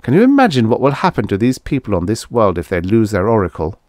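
A man's voice: fairly low pitch, wildly swooping pitch, fast, a little mellow, no echo, studio quality. A man voicing an alarmed spaceman in a science fiction story, pleading urgently. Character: urgent, alarmed male spaceman warning of danger.

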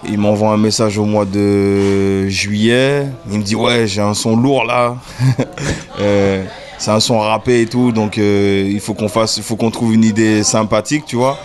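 A relaxed man in his thirties from the French Caribbean chats in an interview, speaking with a fairly low voice. He hesitates often, leaning on fillers and a constant "you know". He talks indoors into a desk mic. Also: measured pace. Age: 30s. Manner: relaxed, hesitant, many fillers and repeated "tu vois". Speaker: music video director. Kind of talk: casual interview. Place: indoor room. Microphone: desk mic in front.

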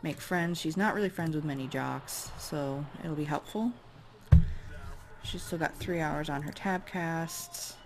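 A woman with a raspy voice giving casual, chatty commentary as she plays a life-simulation game. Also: low-pitched, unhurried, noisy background. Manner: chatty, musing aloud while directing characters. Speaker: let's play host.

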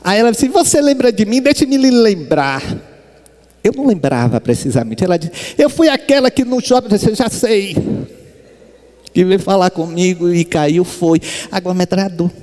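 A middle-aged man from Brazil giving a spiritist lecture, telling stories with lively gestures and acting out the voices he quotes. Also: sits high, moderate pace. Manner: animated storytelling, acts out quoted voices, gestures broadly. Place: stage with sunflower backdrop, large hall. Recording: reverberant hall.

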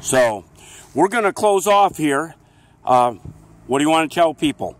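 A man's voice: fairly high pitch, expressive, unhurried. A man with a friendly, rambling manner, interviewing people outdoors on a town street into a handheld camera.

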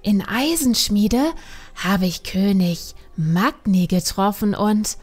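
A woman's voice: medium pitch, lively intonation, slow, a little mellow, soft background music. A woman playing an eager, boastful young panda girl who tells stories dramatically in an audiobook. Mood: offended. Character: plucky young panda girl, boastful storyteller, easily offended. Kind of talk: audiobook character acting.

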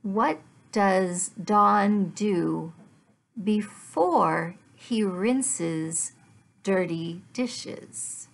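A middle-aged woman gives a language lesson for learners. Her delivery is extremely slow and deliberate, broken into short phrases with clear pauses. Her mid-pitched voice often drops into a gravelly creak. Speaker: English teacher. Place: small living room at home, indoors.

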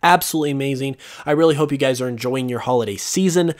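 A young man hosting a casual solo podcast, rambling with lots of fillers and losing his voice, clearing his throat now and then. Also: medium pitch, fast. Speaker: podcast host. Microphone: voice-over over gameplay footage.

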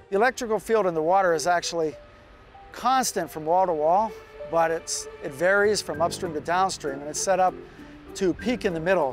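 A man explaining technical details in an interview outdoors beside a canal. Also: mid-range voice. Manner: explanatory, matter-of-fact. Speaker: engineer interviewee. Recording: interview with music bed.

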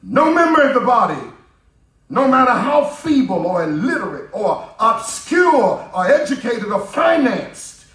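A middle-aged man preaching a sermon from a pulpit microphone in a church, building his cadence up to shouts. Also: high voice. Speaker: pastor. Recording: roomy church from a distance.

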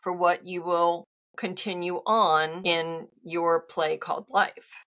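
Earnest woman host, slightly low voice. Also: steady tone, slow pace, a bit bright. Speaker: podcast host. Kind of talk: casual interview chat. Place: home studio, video call. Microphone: headphones and studio mic.

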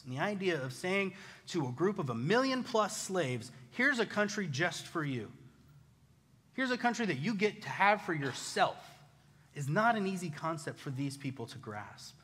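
An American man preaching a conversational sermon, casual, animated and self-deprecating, telling personal stories as a pastor. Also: on the high side. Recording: reverberant hall.

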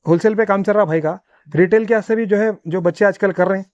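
A Hyderabadi man interviews a farmer in a promotional, conversational way. His voice is high and he talks fast, often repeating what he hears. The recording is dry and somewhat dull. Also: darkish tone, no echo. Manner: repeats answers back, peppers talk with pious phrases. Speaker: video host interviewing a livestock farmer. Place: outdoors on a grassy farm yard. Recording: dull lo-fi sound.

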